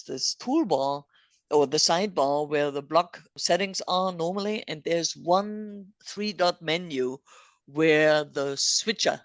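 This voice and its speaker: A woman's voice: low-pitched, no echo. A woman podcast host, not a native English speaker, chatting casually and explaining things step by step with frequent laughs. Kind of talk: casual technical chat.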